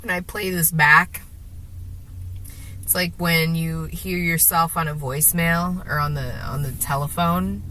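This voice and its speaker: A woman with a sore throat and a gravelly, deeper voice, rambling hesitantly to the camera from her car, with many fillers and restarts. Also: fairly even intonation, unhurried, bright, forceful tone. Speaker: vlogger. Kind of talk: rambling casual monologue while driving.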